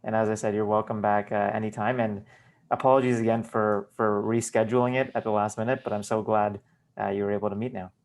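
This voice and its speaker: A man hosting a podcast in a casual interview, polite and measured as he thanks his guest. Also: lowish voice, little pitch movement. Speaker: podcast host.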